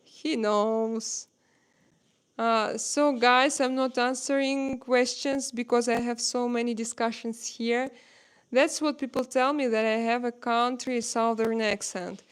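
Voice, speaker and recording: A woman with a Russian accent and Russian as her first language, hosting a casual live-stream chat at home and pausing often to search for words. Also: a little high, steady tone, clean tone. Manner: halting, searching for words with many pauses.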